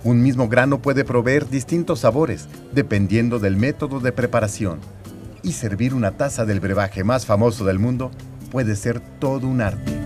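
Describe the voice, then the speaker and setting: A male news reporter narrates a scripted feature. His voice sits at a middle pitch, with soft guitar music underneath. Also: normal speed. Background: guitar music bed.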